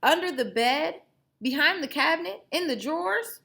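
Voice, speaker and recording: A woman's voice: sits high, expressive, normal speed, vocal fry, fairly bright tone, voice pushed up, exaggerated voice. A woman voicing a worried mother in a children's story, asking anxious questions.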